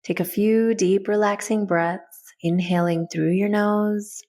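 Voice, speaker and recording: A woman leading a guided meditation in a soothing, gentle, instructional way. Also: mid-range voice, steady tone, slow pace.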